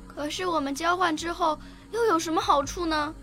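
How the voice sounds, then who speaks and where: A woman's voice: very high-pitched, normal speed, a bit bright, soft background music. A woman playing an earnest, emotional nine-year-old girl in a radio drama, with a childlike, eager manner. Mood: curious, questioning. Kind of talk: radio drama acting with first-person narration.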